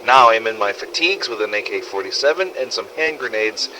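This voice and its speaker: A callous young soldier speaks in a bright, edgy voice for a game audio log. He recounts grim orders plainly, with some ambient noise behind him. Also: fairly low pitch, lively intonation, brisk, low-quality recording. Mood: matter-of-fact.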